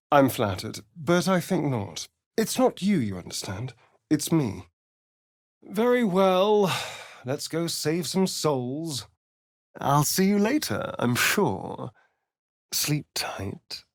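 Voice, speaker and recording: A man's voice: fairly high pitch, dry recording, clean studio sound, full character voice. An actor voicing a sly, vain, sardonic male vampire spawn in a video game, with an arch, theatrical drawl. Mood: arch, teasing.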